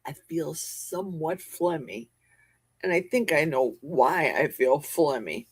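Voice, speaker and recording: A woman with a husky, slightly low voice hosts a relaxed livestream from her basement, chatting and joking with her viewers. She talks at an unhurried pace into a close desk mic, and the sound is dry and close. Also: no room sound. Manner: chatty, jokey, reads viewer comments aloud and answers them. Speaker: livestream host. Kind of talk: casual livestream chat. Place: home basement. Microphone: desk mic with a cough button.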